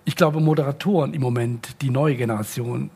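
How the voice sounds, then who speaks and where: A man chatting casually as a podcast guest, with wry asides and a rambling, hesitant manner in a gravelly, husky voice. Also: mid-range voice, fairly quick, dark tone. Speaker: guest, music producer.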